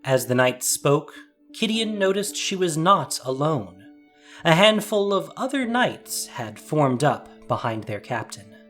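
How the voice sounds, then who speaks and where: A man reading a scripted fantasy story as narrator, with measured, deliberate, suspenseful phrasing. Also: fairly high pitch, big pitch swings. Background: soft music.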